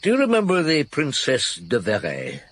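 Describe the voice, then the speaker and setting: A husky, wry police inspector. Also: male voice, mid-range voice, huge pitch swings, normal speed. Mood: wry, musing. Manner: musing, understated, with hums and short replies. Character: seasoned male Paris police chief inspector, wry and unhurried. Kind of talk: naturalistic radio drama acting.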